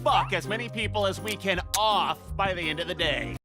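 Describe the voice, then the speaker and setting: A man playing a brash, excitable imp boss in an adult cartoon, theatrical, sarcastic and blustering. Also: sits high, a little fast, music in the background, close to an everyday voice. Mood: defiant. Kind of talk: animated adult comedy acting.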